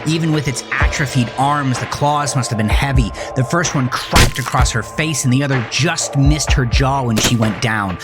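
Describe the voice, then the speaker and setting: A man acting as a haunted, rage-filled narrator, telling a first-person horror story in an intense, brooding, deliberate way. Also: medium pitch, natural voice. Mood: grim, tense. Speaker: narrator of a horror audio drama. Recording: music bed under the voice.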